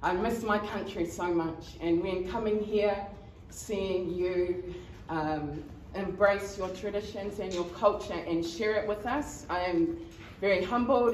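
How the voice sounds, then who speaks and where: A woman from New Zealand presents to a conference audience at a slow, halting pace, filling gaps with ums and nervous laughter. Her voice carries through an echoey hall, picked up from a distance over faint background noise. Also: lowish voice, a little mellow. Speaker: community member presenter.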